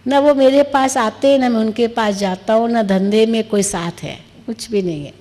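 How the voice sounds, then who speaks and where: A woman's voice: a little high, normal speed, mellow, close to an everyday voice. A woman telling a parable as a candid, contented eighty-year-old retired businessman, explaining himself patiently. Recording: long reverberant hall echo.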